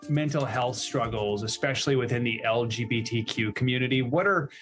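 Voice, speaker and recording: Anchor guy asking questions, calm, soft music. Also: mid-range voice, fairly quick. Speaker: news anchor interviewer. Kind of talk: interview questions.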